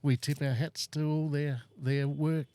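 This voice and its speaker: A man hosts a relaxed podcast interview in a small studio. He talks in a friendly, chatty way and laughs off his own slips. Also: a little high, a little slow, no room sound. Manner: friendly, chatty, self-deprecating. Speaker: podcast host. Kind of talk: casual interview chat.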